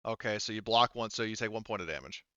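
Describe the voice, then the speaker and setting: A man's voice: lowish voice, quick pace, bright, forceful tone, lo-fi sound, slight background noise. A man casually refereeing a tabletop game as game master, thinking aloud in an informal way.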